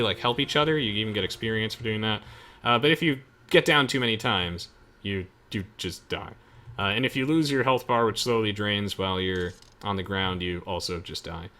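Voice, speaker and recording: Bright-voiced young man chatting over gameplay. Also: medium pitch. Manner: chatty, explanatory, with hesitant trailing asides. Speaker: gamer hosting a let's play. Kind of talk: casual gameplay commentary with friends.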